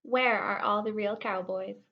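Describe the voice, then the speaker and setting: A woman's voice: mid-range voice, steady tone, unhurried, lo-fi sound, close to an everyday voice. A woman voicing a bold young cowgirl rancher with folksy, exclamatory talk in a storybook read-aloud. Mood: bored, complaining.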